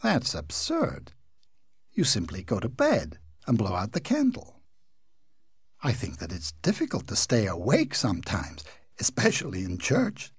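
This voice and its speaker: A man's voice: on the low side, wildly swooping pitch, unhurried, vocal fry, slightly rough, no room sound, studio quality, exaggerated voice. A man voicing a prim, indignant young American girl who scolds sharply.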